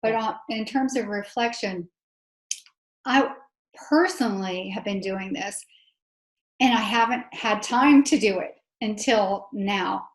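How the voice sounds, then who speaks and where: A woman's voice: medium pitch, takes it slowly, echoey room, rough recording. A woman hosting a webinar discussion, rambling with many restarts. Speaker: webinar host. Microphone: video call.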